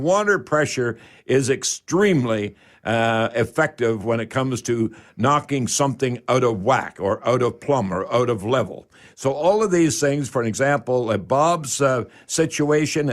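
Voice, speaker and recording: Folksy older man hosting radio. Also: medium pitch. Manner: folksy, halting, repeats himself. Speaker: radio call-in show host, home repair expert. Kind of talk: conversational radio advice, explaining to listeners.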